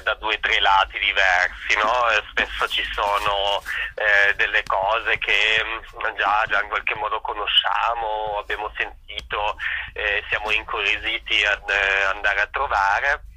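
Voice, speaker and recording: A man's voice: fairly low pitch, steady tone, a little slow, bright, forceful tone, low-quality recording. A man giving informal, spontaneous interview answers as an event organizer, hesitantly searching for his words.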